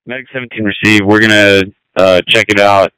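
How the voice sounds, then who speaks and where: Fast, crisp male responder on radio. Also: lowish voice, fairly even intonation, bright, forceful tone, dry recording, low-quality recording. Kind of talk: terse radio report. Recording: fire department two-way radio traffic.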